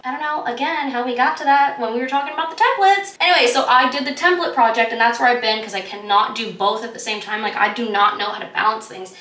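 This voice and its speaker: A woman's voice: fairly high pitch, quick pace, few pauses, sharp tone, recorded from a distance. A woman, chatting casually in a candid, rambling, slangy vlog style. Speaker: vlogger. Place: indoors at home, echoey room. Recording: roomy echo, away from the mic.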